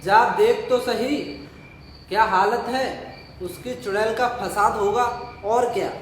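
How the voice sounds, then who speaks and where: A man's voice: high voice, steady tone, slow. A man playing an idle, callous old village father who grumbles and scolds his son in rustic talk, in an audio drama. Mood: grumbling insistence. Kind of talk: stage-style audio drama acting. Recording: roomy, echoing recording with faint noise.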